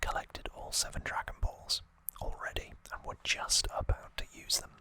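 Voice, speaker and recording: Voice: moderate pace, pushed and bright. An ASMR host whispering an unscripted, slightly hesitant retelling, close to the microphone. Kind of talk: whispered ASMR trivia recap.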